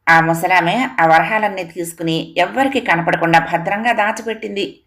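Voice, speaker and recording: A woman's voice: fairly low pitch, normal speed, fairly bright tone. A woman, narrating a scripted children's moral story as a storyteller.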